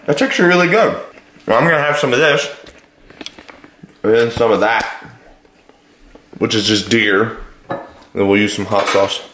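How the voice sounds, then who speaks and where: Young dude chatting casual, lowish voice, roomy kitchen w noise behind. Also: male voice, measured pace, long pauses, a bit bright. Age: young adult. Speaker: fitness vlogger's on-camera partner. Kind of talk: casual joking chat. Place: home kitchen, indoors.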